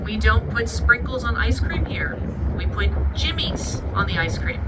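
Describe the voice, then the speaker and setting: A woman's voice: a little high, sing-song, measured pace. A woman from Pittsburgh with a light local accent, giving chatty, proud commentary as a river tour guide. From Pittsburgh, USA. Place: outdoors on a river tour boat. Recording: distant loudspeaker with loud boat noise. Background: engine, water and city noise.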